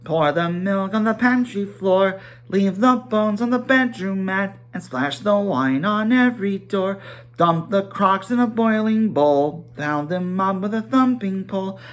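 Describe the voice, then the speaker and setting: A man's voice: high-pitched, animated, unhurried, pitched above the performer's own voice. A man singing as mischievous, boisterous dwarves in a rhythmic, teasing storybook song.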